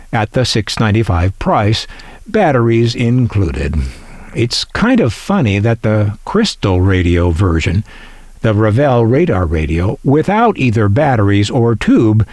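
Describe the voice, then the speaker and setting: A man's voice: mid-range voice, darkish tone, no room sound, clean studio sound. A man giving a scripted narration as a collector, with wry, folksy asides and some showmanship.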